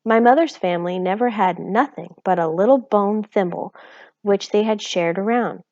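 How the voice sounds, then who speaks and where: A woman's voice: mid-range voice, normal speed, darkish tone, dry recording, close to an everyday voice. A woman voicing a fretful, tearful little borrower mother with an anxious, quavering way of talking. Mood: fretting. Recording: slightly dull lo-fi recording.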